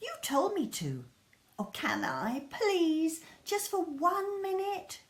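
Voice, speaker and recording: A woman's voice: very high voice, takes it slowly, pitched above the performer's own voice, full character voice. A woman voicing an eager, pleading young boy elephant in a children's storybook. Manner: pleading, excitable.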